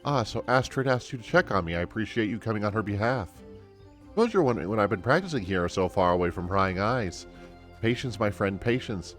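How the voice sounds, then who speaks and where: A man voicing an eager, sweet young elf woman in a fantasy game, excitable and earnest. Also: fairly low pitch, brisk, natural voice. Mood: secretive, pleased. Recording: game music behind the voice.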